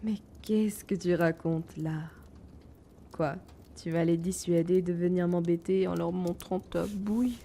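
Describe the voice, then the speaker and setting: A woman playing a gentle, timid, wounded young male potion seller in an intimate fantasy audio drama, speaking softly, hesitantly and often breathily. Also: fairly low pitch, fairly even intonation, measured pace, slight background noise. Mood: teasing.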